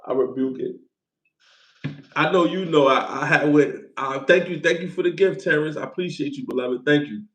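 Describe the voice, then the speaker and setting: A man's voice: on the high side, normal speed, a bit bright, reverberant. A man in his forties hosting a casual livestream, loose and playful, repeating himself and thanking viewers for gifts. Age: 40s. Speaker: livestream host.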